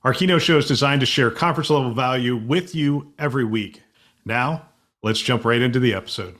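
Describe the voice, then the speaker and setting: A man's voice: medium pitch, a little fast, fairly bright tone. A man reading a scripted show introduction in an upbeat, polished way. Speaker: show creator, host. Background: faint music.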